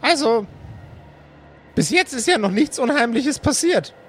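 A man's voice: extremely high pitch, lively intonation, unhurried, fairly bright tone, slight background noise, voice pushed up, full character voice. A man voicing an encouraging but hesitant male companion in an improvised fantasy audio play. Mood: reassuring.

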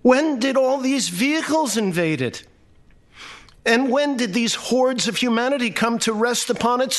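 A man's voice: very high voice, a bit bright, pitched above the performer's own voice, heavily acted. A man voicing a dazed, bewildered storyteller in a literary tale, exclaiming and questioning dramatically. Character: bewildered first-person storyteller, overwhelmed and dazed.

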